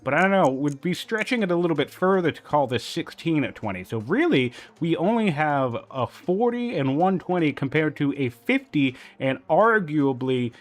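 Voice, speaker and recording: A man with a somewhat high voice casts a strategy game match, reasoning through unit counts and turn timings out loud. He pads his thoughts with casual fillers, and his voice is still a little sniffly from a recent cold. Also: animated, moderate pace, few pauses. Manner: analytical, thinking aloud with fillers. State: slightly sniffly after a cold. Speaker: tournament caster.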